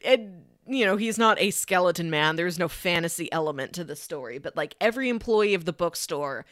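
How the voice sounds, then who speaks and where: A woman's voice: fairly low pitch, expressive, a little fast, fairly bright tone, dry recording, studio quality. A woman co-hosting a podcast in casual chat, talking enthusiastically and descriptively. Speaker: podcast co-host reviewing anime.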